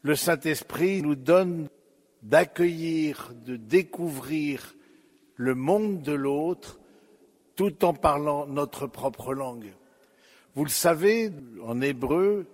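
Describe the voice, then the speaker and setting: Slow reflective man preaching in a hall. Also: a little high. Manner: slow, reflective, addressing listeners directly with pauses and fillers. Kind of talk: sermon.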